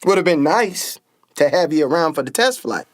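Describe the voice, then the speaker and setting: An actor playing a bold, protective young stunt pilot and older brother in a radio play, speaking in a folksy Southern-style vernacular. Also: male voice, fairly high pitch, animated, moderate pace, no room sound, studio quality, natural voice. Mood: exasperated.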